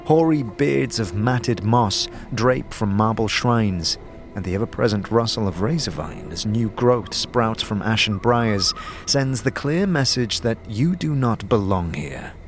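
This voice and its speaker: A man with a low voice narrates scripted fantasy fiction. His delivery is measured and dramatic, in the manner of an audio drama storyteller. Faint music plays beneath him. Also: animated.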